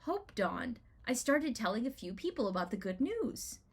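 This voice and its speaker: Woman giving an expressive personal essay reading. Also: a little high, husky. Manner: expressive, deliberate storytelling with emphatic rhetorical questions. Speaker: writer reading own blog essay.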